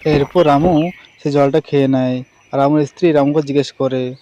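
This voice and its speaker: A man's voice: mid-range voice, fairly even intonation, normal speed, mellow, lo-fi sound. A man narrating a scripted cartoon story as a storyteller.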